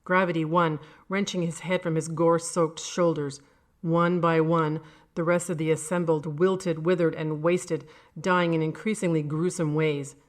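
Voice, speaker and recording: Woman narrator for horror audiobook, low register, scripted storytelling delivery. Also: flat delivery, normal speed.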